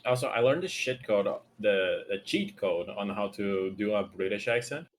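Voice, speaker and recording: A man's voice: fairly low pitch, lively intonation, normal speed, a little hiss or hum. A man from Brazil whose first language is Portuguese, chatting casually on a stream. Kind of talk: casual chat on a livestream.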